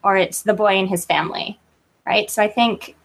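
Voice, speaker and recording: A woman's voice: medium pitch, fairly even intonation, moderate pace. A woman chatting casually as a guest author in an interview, informal and self-deprecating in manner.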